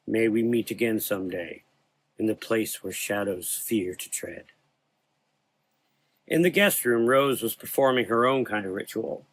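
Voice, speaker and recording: A man's voice: fairly low pitch, a bit bright. A man narrating a scripted gothic horror story as a storyteller.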